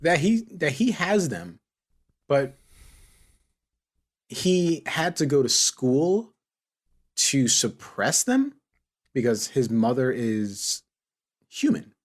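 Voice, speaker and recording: Man, podcast host, casual banter, very animated pitch, halting delivery with repeated words, chuckly. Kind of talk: casual comedic panel chat.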